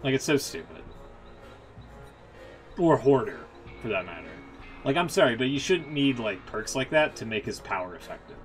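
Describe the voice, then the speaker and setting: A man's voice: mid-range voice, very animated, normal speed, pauses often, soft background music. A man hosting a game stream with casual, opinionated, rambling commentary and some swearing.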